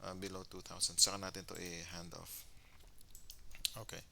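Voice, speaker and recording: A man's voice: deep voice, completely flat delivery, moderate pace, gravelly, bright, forceful tone, slight background noise. A man with a Filipino accent casually commentating his own gameplay, thinking aloud and mixing Tagalog with English. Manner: mixes Tagalog with English phrases.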